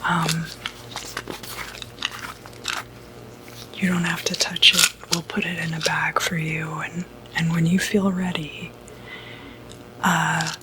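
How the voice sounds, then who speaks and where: A woman playing a gentle, reassuring doctor in an ASMR medical roleplay, speaking in a breathy, soothing whisper. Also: deep voice, flat delivery, noisy background. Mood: gentle concern. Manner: soothing, unhurried, hesitant phrasing. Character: gentle, reassuring doctor who treats demonic possession.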